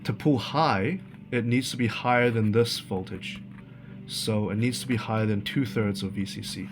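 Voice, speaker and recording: A man giving an informal, unscripted technical explanation as a tutorial presenter, halting and thinking aloud as he goes. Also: medium pitch.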